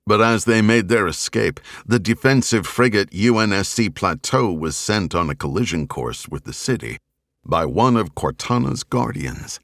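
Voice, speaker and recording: Deep-voiced man narrating a novel, swooping intonation. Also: animated, vocal fry, fairly bright tone, no echo, clean studio sound. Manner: measured, grave, deliberate phrasing. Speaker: audiobook narrator. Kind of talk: scripted dramatic novel narration. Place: dry recording studio.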